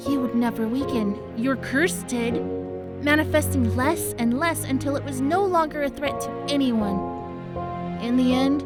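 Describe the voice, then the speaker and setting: A woman voicing a young succubus girl in an animated series, wistful and devoted, with a melodramatic, plaintive delivery. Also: sits high. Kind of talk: animated web series voice acting. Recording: music behind the voice.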